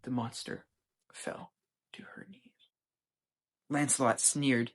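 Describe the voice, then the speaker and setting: American teen reading their own short story out loud, acting it up a bit. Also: mid-range voice, fairly even intonation, slow, whispered. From United States. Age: teens. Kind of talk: scripted dramatic story reading.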